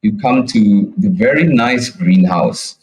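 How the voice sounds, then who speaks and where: A man narrating a tabletop game as game master, improvising in a halting way. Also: on the low side, a little mellow, poor audio.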